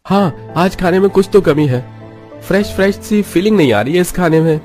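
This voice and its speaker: Man playing a very high-voiced young family man. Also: lively intonation, moderate pace, darkish tone, soft background music. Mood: mildly dissatisfied.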